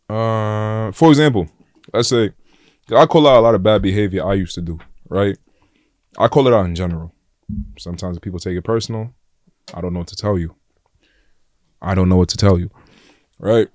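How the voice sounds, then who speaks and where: A young man with a New York accent and a deep voice, talking bluntly and casually as a podcast guest, full of slang and "like" and "bro". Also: normal speed, pauses often, darkish tone, dry recording, studio quality. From Flatbush, Brooklyn, New York. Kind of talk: casual conversation.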